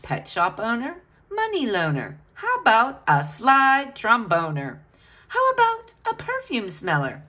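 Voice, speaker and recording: A woman reads a children's picture book aloud in a fairly high voice. Her pitch swings very widely in a sing-song, questioning way, as if speaking directly to young listeners. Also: huge pitch swings, unhurried, bright, forceful tone.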